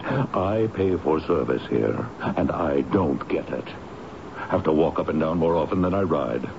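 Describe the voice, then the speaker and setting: A gruff, unsentimental man of a radio play, with a very low voice and slow, dismissive delivery. The recording is dull and noisy. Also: lively intonation, exaggerated voice. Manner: curt, sardonic, dismissive. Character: gruff, hard-hearted male tenant, scornful and unsentimental. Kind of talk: old-time radio drama acting.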